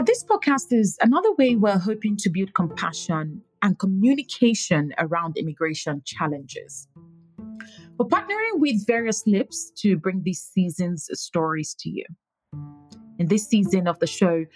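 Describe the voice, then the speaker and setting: Woman host reading a podcast intro. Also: mid-range voice. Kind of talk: scripted podcast introduction and interview.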